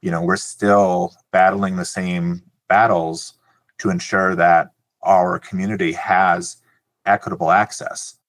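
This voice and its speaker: Male advocate interviewee, low pitch, spontaneous remarks, dry sound. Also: level tone, measured pace, a bit bright, no echo. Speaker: community advocate being interviewed.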